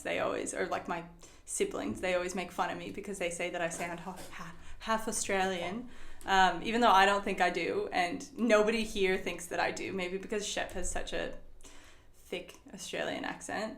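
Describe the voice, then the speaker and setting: A woman's voice: on the low side, fairly even intonation, moderate pace, creaky, a bit bright, roomy sound. A young woman from Sweden who speaks English with an American accent, chatting casually as an interview guest with many fillers. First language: Swedish. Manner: chatty, lots of fillers and likes.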